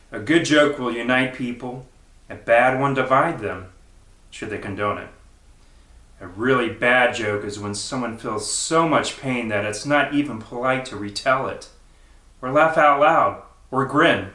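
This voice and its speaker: A man's voice: medium pitch, unhurried, pushed and bright. A man reading poetry aloud with a wry, emphatic delivery. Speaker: poetry reader. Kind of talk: poetry recital. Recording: roomy, echoing recitation.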